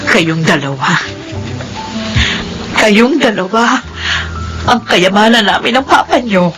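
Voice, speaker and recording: A woman's voice: mid-range voice, animated, slow pace, music in the background. An actress playing a doting, sentimental middle-aged mother in a family drama, emotional and affectionate. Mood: tender, emotional. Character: doting, sentimental mother of two grown children. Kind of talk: Tagalog drama acting.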